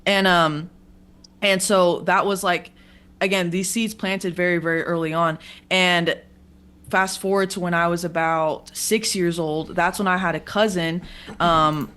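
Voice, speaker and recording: A woman with a raspy voice recounting her childhood in a conversation, hesitant, with many fillers and restarts. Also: fairly low pitch, steady tone, a little slow, fairly bright tone. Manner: hesitant, filler-heavy storytelling. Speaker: guest in a clip. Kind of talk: personal testimony in conversation.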